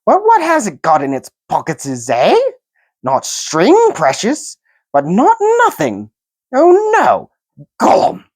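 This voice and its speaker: A man voicing a slimy, wretched male cave creature who hisses and whines in a high, drawn-out, wheedling voice. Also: wildly swooping pitch, dry recording, studio quality, full character voice. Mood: sly, wheedling. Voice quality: hissing, sibilant. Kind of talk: audiobook character voice.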